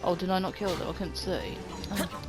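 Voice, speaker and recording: A woman streams a game and comments casually in a slightly low voice. She mutters as she thinks aloud. Loud game music plays behind her. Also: normal speed.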